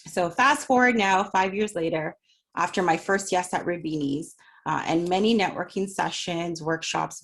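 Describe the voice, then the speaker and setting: Middle-aged woman, entrepreneur sharing her story; lowish voice with vocal fry, easygoing and chatty, leans on "you know.". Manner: chatty, personable, many "you know" fillers. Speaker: small business owner speaking at a community event. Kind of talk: conversational talk about her business journey. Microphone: remote call for a virtual event.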